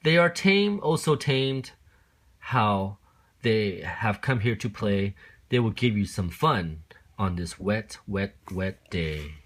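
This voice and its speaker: A man's voice: lowish voice, animated, slow, a bit bright, full character voice. A man plays a storybook cat, cheerful and a little mischievous. He is breezy and sure of himself, reassuring everyone with a showman's flair. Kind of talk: children's picture-book read-aloud.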